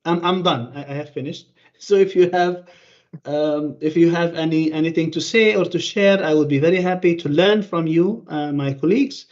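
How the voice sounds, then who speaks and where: Halting man presenting over lo-fi call. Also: a little high, darkish tone. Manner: halting, many fillers and restarts. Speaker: conference presenter. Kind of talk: online conference presentation. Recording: lo-fi online call audio.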